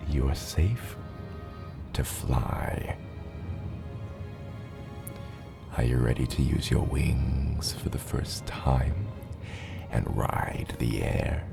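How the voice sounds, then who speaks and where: A man playing a gentle giant father dragon patiently teaching his hatchling in a hushed, reassuring ASMR roleplay, his voice breathy and gravelly. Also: exaggerated voice. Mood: warm, encouraging. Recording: loud low rumbling noise.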